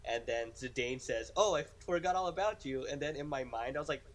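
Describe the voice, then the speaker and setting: A man's voice: mid-range voice, lively intonation, brisk. A young man in casual gaming chat as a podcast co-host, telling rambling stories and acting out quotes.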